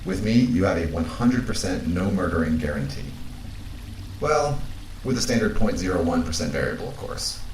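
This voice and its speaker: A man playing a calm, literal-minded, caring space crewmate in an audio drama, measured and deadpan. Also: low-pitched. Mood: gentle, deadpan. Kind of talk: naturalistic audio drama acting. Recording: roomy echo, faint background noise.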